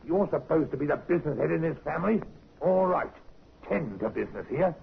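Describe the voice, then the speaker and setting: Gruff pub landlord, gravelly and bossy, jumpy higher voice, muffled old radio. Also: male voice, expressive, fairly quick, a little hiss or hum. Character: gruff, blunt English innkeeper husband, middle-aged. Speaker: radio drama actor. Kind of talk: 1950s radio crime drama acting. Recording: muffled vintage radio recording.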